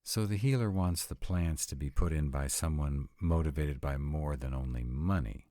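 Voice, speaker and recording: A man's voice: extremely low pitch, normal speed, dark tone, dry recording. A man playing a sarcastic, reluctant but devoted vampire mate in a scripted roleplay audio drama, with a dry manner. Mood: incredulous.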